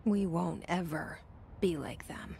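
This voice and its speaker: A woman's voice: on the low side, takes it slowly, mellow, slight background noise. An actress playing a brooding woman director's private thoughts in a video game, introspective, restrained and dry. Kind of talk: inner monologue narration for a video game. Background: clear music.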